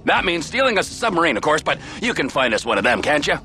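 An actor playing a volatile, crude middle-aged male criminal in a video game, mocking and domineering, swinging from mock-gentle to barking. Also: a little high, big pitch swings, fast, faint music behind, heavily acted. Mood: businesslike scheming. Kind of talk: video game cutscene acting.

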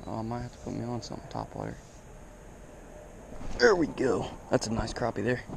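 A man chatting casually to viewers as a fishing vlogger, with an excitable, exclaiming, slangy way of talking, recorded outdoors on a body-worn action camera. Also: on the low side, a little fast, vocal fry. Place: outdoors on a grassy reservoir bank. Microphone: body-worn action camera mic. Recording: outdoor action camera with faint background noise.

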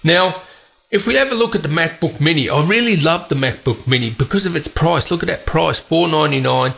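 Congested, gravelly male tech host. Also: on the high side, brisk, fairly bright tone. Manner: chatty, opinionated, rambling asides. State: has a cold, congested voice. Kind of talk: casual tech news commentary.

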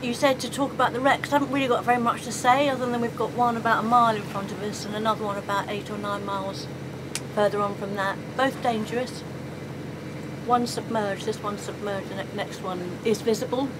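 A woman's voice: medium pitch, steady tone, fairly quick. A middle-aged woman talking plainly to camera outdoors on a sailboat. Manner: plainspoken, matter-of-fact. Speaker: sailing vlogger. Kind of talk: casual on-camera talk. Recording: clear wind and boat noise.

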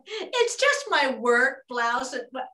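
Woman doing a coy sassy hat check girl for a radio play, high n slow, kinda far from the mic. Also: sharp tone, exaggerated voice. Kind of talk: old-time radio drama acting. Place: room at home. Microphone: video call, sitting back from camera. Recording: roomy, distant from the mic.

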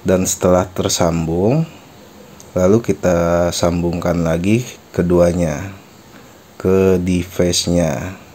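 A man's voice: low-pitched, steady tone, slow, pauses often, warm tone. A man narrating a step-by-step product tutorial in an explanatory, instructional manner. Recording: faint background noise.

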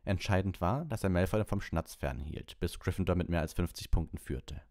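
A man's voice: sits low, normal speed, darkish tone, no echo, clean studio sound. A man reading a story aloud as an audiobook narrator.

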